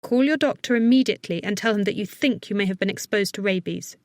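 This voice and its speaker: A woman's voice: medium pitch, fast talker, darkish tone, no room sound, close to an everyday voice. A woman voicing a worried but capable woman vet in an audiobook, giving firm, urgent, reassuring instructions. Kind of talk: audiobook character acting.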